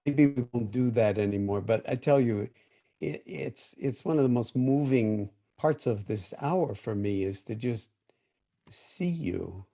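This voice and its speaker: Mellow-voiced older man teaching calmly. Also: medium pitch, measured pace. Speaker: meditation teacher. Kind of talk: livestream Q and A. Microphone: video call.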